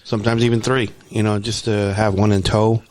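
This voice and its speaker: A man's voice: fairly low pitch, flat delivery, brisk, a little mellow, no room sound. A man co-hosting a podcast in easygoing chat, leaning on "you know" and "like.". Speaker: podcast co-host. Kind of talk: casual chat.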